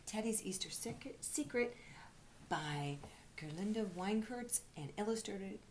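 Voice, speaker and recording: A woman reading aloud to children like a teacher, expressive, often dropping into breathy, hushed storytelling. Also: medium pitch, unhurried. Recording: faint background noise.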